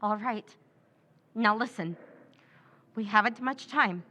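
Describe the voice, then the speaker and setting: An actress playing Pamela, a cold, commanding woman in an old-time radio drama who gives clipped orders and plots in hushed tones. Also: medium pitch, takes it slowly. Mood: hushed, plotting. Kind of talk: 1940s radio drama acting.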